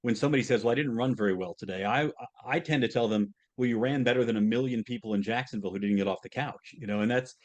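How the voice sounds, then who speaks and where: A man's voice: medium pitch, quick pace, dull audio. A man hosting a podcast interview, asking long, hesitant questions full of fillers and restarts, and laughing easily. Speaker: podcast host.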